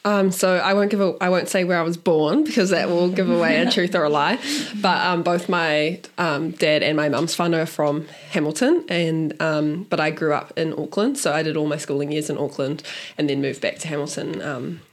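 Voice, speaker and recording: A woman with a New Zealand accent, a netball player guest, speaking in a playful, measured way. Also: low voice, fairly even intonation.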